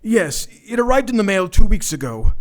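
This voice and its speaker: A man performs a troubled, courteous father from a noir radio mystery. His voice is quite high for a man. He speaks formally and earnestly, with worry in his delivery. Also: very animated, moderate pace. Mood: worried. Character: anxious, polite father of a kidnapped daughter. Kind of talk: noir radio drama acting.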